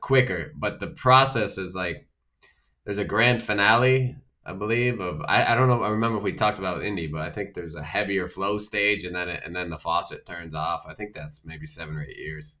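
A middle-aged man co-hosts a podcast. His voice is bright and clear. His delivery is playful, and he teases and jokes in easy banter. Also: low-pitched, a little fast. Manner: joking, teasing banter. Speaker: podcast co-host. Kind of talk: casual chat.

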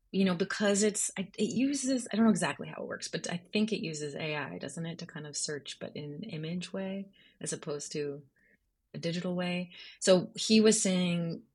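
A woman journalist speaking as an interview guest, explaining things conversationally and thoughtfully, feeling for the right words. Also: fairly low pitch. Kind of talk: conversational interview, explaining their writing process.

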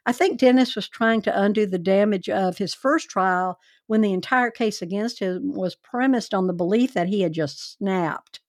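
Woman, true crime podcast host, chatty and wry, mid pitch, dry close sound. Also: dry recording.

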